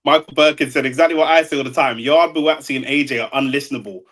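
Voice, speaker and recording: Fast-talking young man, blunt. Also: mid-range voice, a bit bright. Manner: blunt, opinionated, rapid street slang. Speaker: boxing podcast co-host. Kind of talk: casual chat.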